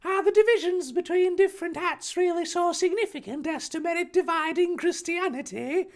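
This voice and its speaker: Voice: extremely high pitch, moderate pace, voice pushed up. A performer voicing a naive, earnest little boy in a story, asking innocent, tentative questions. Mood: puzzled, pondering.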